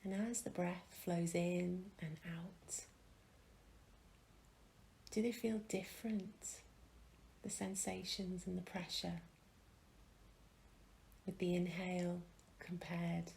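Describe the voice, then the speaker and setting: Slow, low-pitched woman guiding meditation. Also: vocal fry, poor audio. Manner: calm, gentle, unhurried with pauses. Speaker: mindfulness meditation teacher. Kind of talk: guided meditation. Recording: faint background noise.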